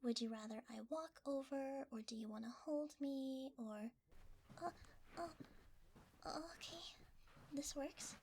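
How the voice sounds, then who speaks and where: A woman's voice: high-pitched, takes it slowly, pauses often, darkish tone, slight background noise. A woman voicing a shy, cuddly shapeshifting creature in an ASMR roleplay, hesitant and easily flustered, often half-whispering. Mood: shy, affectionate.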